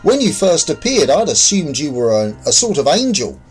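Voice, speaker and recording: A man's voice: fairly high pitch, very animated, normal speed, faint music behind. A man voicing an agitated, disoriented man in a sci-fi audio story, questioning and accusing. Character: agitated, disoriented man revived in devastated world.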